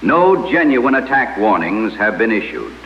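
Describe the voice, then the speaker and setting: A man playing a composed, official emergency broadcast announcer, reading formally and in a measured way. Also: on the low side, big pitch swings, slight background noise. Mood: formal, apologetic. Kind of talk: scripted old broadcast announcement. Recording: muffled, echoey old radio broadcast sound.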